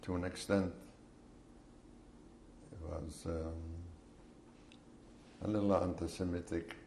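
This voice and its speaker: A man in his seventies from Poland, giving slow, measured answers in an oral history interview. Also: low-pitched, little pitch movement, vocal fry, darkish tone, a little hiss or hum. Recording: echoey room.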